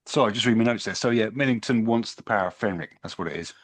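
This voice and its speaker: A man hosting a podcast, giving a casual, halting recap from his notes. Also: lowish voice, no echo. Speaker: podcast host.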